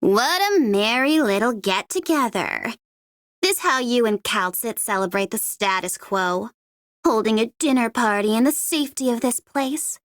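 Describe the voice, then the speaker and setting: A woman's voice: fairly high pitch, animated, measured pace, no echo, studio quality. A woman voicing a sardonic, menacing young mercenary in a video game, with a mocking, taunting delivery. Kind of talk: video game character voice line.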